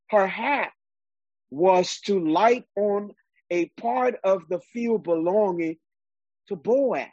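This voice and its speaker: A man preaches a sermon in an emphatic way, repeating words as he gathers his thoughts. His voice sits high and sounds husky. The recording is dry and a little dull. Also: no echo. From Liberia.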